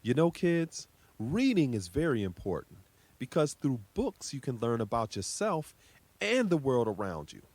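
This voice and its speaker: A man's voice: mid-range voice, sing-song, normal speed, a little mellow, no room sound. A man introducing a children's story time in a welcoming, encouraging manner, addressing young listeners as host.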